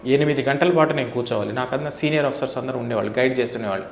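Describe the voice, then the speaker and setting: A man's voice: mid-range voice, racing, slight background noise. A middle-aged man from India, speaking emphatically as an interview guest, with rhetorical questions and repeated phrases. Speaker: interview guest, former air force officer. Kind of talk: opinionated interview talk. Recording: roomy, echoing indoor sound.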